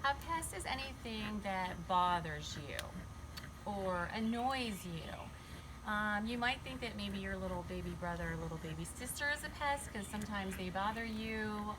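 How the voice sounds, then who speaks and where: Clearly noisy recording of a woman with a mid-pitched voice. She reads a picture book to young children, stopping to talk to them directly, ask questions and explain things simply. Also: measured pace. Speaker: children's read-aloud storyteller.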